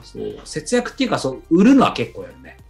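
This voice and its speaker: A man hosts a casual podcast conversation, explaining his thinking in loose, rambling runs. His pitch swings widely and he talks quickly. Music plays underneath his voice. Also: wildly swooping pitch, darkish tone. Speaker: podcast interviewer and host. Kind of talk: casual chat. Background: background music.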